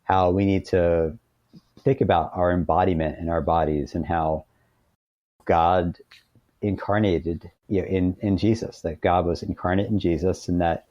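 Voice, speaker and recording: A man's voice: sits low, steady tone, a little slow, warm tone, no room sound, muffled recording. A man speaking as a podcast guest, explaining ideas in a thoughtful, reflective interview style.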